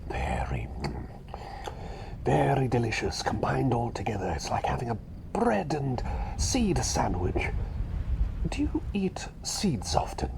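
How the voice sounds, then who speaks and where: A man voicing a fussy, chatty little bird who is really a doctor, rambling and repeating himself politely in a hushed, breathy ASMR roleplay. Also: medium pitch, huge pitch swings, slow, long pauses, noisy background, full character voice. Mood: chatty, earnest. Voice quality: breathy, half-whispered.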